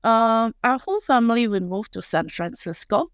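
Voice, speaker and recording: A woman in her forties from Malaysia with a Malaysian Chinese accent, a native Chinese speaker, talking casually and haltingly as a podcast guest on a close studio mic. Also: fairly high pitch, animated, clear, resonant tone, no room sound. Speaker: guest chef and culinary instructor. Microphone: close studio microphone on boom arm.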